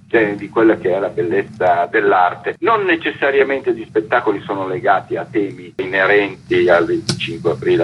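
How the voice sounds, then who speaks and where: A man with a somewhat low-pitched voice speaks as a festival organiser being interviewed for radio. He explains things at length, answering as a theatre maker would. A slight noise sits behind his voice. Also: poor audio. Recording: faint background noise.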